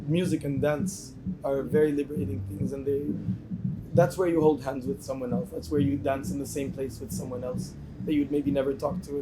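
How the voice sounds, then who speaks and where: A man's voice: on the high side, background noise. A man from a band answering interview questions thoughtfully, with frequent restarts. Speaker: band member.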